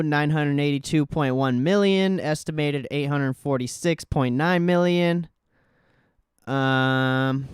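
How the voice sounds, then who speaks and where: Slow-talking man, very clear smooth voice. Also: medium pitch, no room sound, studio quality. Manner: informal, slangy, swearing. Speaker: livestream host. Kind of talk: casual live stock-market commentary.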